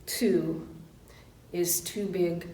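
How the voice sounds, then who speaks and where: A woman minister speaking a reverent prayer in a solemn, measured way, with deliberate pauses between phrases. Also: on the low side, fairly even intonation, mellow, slight background noise. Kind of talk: reverent spoken prayer and benediction. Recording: roomy echo.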